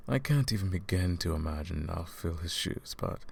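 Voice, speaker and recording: A man's voice: deep voice, lively intonation, normal speed, creaky, slightly rough, full character voice. A man playing a falsely sympathetic, condescending royal advisor in a fantasy audio roleplay, speaking to the listener in a courtly, patronizing, theatrical way. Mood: feigned sympathy.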